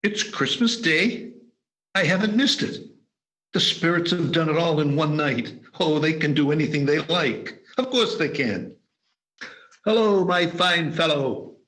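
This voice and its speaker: A man's voice: on the high side, lively intonation, unhurried, fairly bright tone, low-quality recording. An actor playing a giddy, overjoyed old man in a radio play, exuberant and stammering. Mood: eager delight. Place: performed remotely over a video call.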